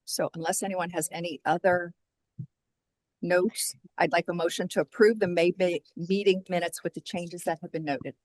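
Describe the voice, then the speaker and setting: Woman, board chair, low register, dry close sound, measured procedural delivery on a video call. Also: fairly even intonation, no echo, rough recording. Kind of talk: formal meeting chairing.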